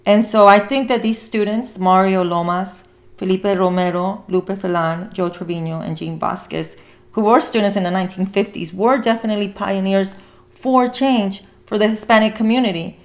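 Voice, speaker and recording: A woman's voice: medium pitch, steady tone, moderate pace. A woman hosting a casual talk show, discussing things in an earnest, meandering, conversational way. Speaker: podcast host.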